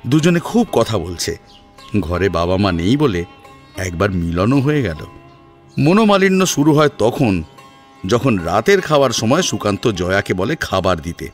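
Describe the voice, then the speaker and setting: A man's voice: on the low side, sing-song, measured pace, faint music behind. A man narrating a dramatised family story in a scripted storytelling style.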